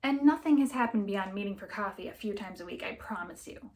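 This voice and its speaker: A woman's voice: medium pitch, expressive, brisk, a little mellow, close to an everyday voice. Woman reading as an apologetic, sheepish boyfriend. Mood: earnest apology. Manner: hesitant, placating, earnest.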